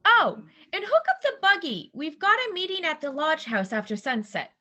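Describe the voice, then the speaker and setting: A woman playing a spirited, teasing, bossy backwoods wife in an audio drama, brisk and commanding. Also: very high-pitched, very animated. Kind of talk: amateur audio drama acting.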